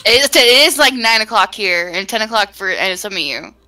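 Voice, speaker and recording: Giggly, bright-voiced woman hosting a playthrough. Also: medium pitch, a little fast. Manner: giggly, animated. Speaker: host narrating a visual novel playthrough. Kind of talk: casual group chat with read-aloud narration.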